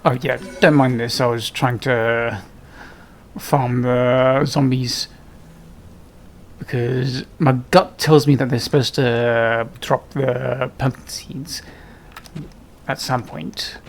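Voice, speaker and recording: A man's voice: mid-range voice, steady tone, slow, vocal fry. A man giving casual, unscripted gameplay commentary, musing to himself as he plays. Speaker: gameplay video host. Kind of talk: casual let's play commentary.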